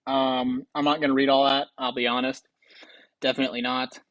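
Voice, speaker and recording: Man, game commentator, mid-range pitch, close dry sound, casual, rambling, offhand. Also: little pitch movement, fairly quick, fairly bright tone, no echo, highs cut off. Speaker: host of a game commentary show.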